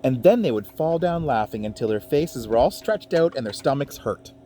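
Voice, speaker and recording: Animated man reading a storybook to children. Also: mid-range voice, moderate pace, slight background noise. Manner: playful, expressive, checks in with listeners. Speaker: teacher reading a picture book aloud to children. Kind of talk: animated children's read-aloud with chatty asides.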